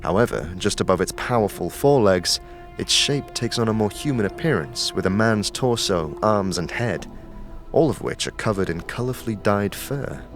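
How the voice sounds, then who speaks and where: A man's voice: lowish voice, lively intonation, moderate pace. A man reading scripted dramatic narration for an audio story. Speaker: narrator and game master. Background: clear music.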